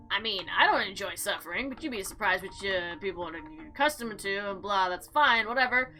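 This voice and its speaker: A woman giving casual, chatty gameplay commentary and reading visual novel text aloud in an expressive, theatrical way. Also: on the high side. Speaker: game player and narrator. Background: background music.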